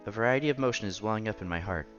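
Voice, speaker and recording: A man's voice: fairly low pitch, very fast, fairly bright tone, lo-fi sound. A man streaming a visual novel, reading its narration and dialogue aloud expressively. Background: game music.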